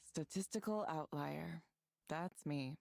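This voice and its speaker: Brief: deadpan young woman, curt and guarded, low gravelly voice. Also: takes it slowly, no echo, studio quality, heavily acted. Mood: flat, guarded. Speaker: game character.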